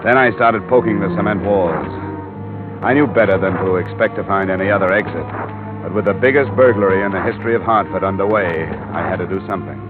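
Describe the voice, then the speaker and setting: Hard-boiled male radio detective, played by an actor: very low, clipped, deadpan, muffled old broadcast sound with prominent music. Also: a little fast, close to an everyday voice. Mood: grim, tense. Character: hard-boiled male detective, terse, world-weary, steady. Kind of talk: 1950s radio drama acting, first-person narration. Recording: muffled vintage radio recording, music behind.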